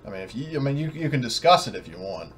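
A man narrating and refereeing a tabletop game as game master, halting and often correcting himself, over an online voice chat. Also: mid-range voice, sing-song, fairly bright tone. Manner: halting, hedging, self-correcting. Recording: noisy online voice chat recording.

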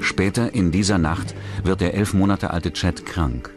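A man's voice: low voice, a little slow. A man delivering scripted narration for a true-crime documentary in the role of narrator. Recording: narration over music bed. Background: music.

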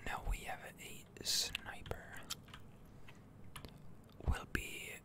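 A man giving hushed, halting game commentary for ASMR in a breathy whisper, close to the microphone. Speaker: gaming ASMR streamer.

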